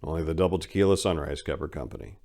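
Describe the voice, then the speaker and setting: A man's voice: a bass voice, fast, darkish tone. A man giving a brooding, theatrical narration of a scripted story.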